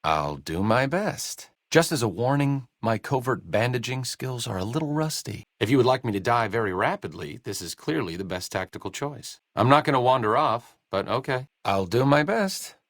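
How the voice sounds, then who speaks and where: Casting: wry, educated male doctor sidekick for post-apocalyptic game; deadpan wit, animated intonation, studio-dry recording. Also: mid-range voice, normal speed, hardly any pauses, dry recording. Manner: dry, sardonic, articulate. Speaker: video game voice actor. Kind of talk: scripted video game companion dialogue.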